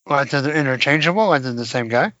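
A man co-hosting a podcast, chatting casually about comics, animated and laughing often. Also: mid-range voice, fast, no echo, lo-fi sound. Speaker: podcast co-host.

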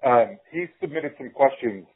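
A man hosts a casual interview in a husky, mid-pitched voice. He talks conversationally to his guest. The audio is a little dull and lo-fi, like radio. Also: expressive, moderate pace. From Salt Lake City, United States. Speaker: podcast host interviewer.

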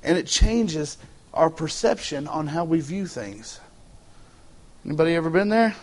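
A Texan pastor preaching to his church in a relaxed, joking way. He talks with a slightly high voice, loose and folksy, often restarting phrases as he goes. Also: measured pace. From Texas. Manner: joking, folksy, repeats words as he starts phrases. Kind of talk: casual humorous sermon. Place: church sanctuary.

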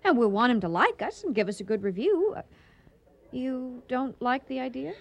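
Brief: coy, feisty female restaurateur, theatrical and playful, highish animated voice, radio comedy. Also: normal speed, gravelly, highs cut off, heavily acted. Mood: coy, conspiratorial. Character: feisty, coy woman restaurateur plotting petty revenge. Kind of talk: radio comedy drama acting.

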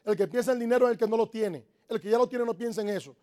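A man's voice: high-pitched, quick pace, warm tone. A man from the Republic, giving an emphatic motivational business talk to a live audience, repeating his key points. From Dominican Republic. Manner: emphatic, repetitive, preaching-like exhortation. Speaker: network marketing motivational speaker.

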